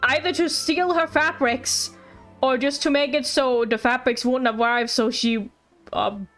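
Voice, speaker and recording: High-voiced excitable woman streamer. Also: measured pace. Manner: excitable, playful, joking. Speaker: game streamer. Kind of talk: casual live game commentary. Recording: faint game music behind.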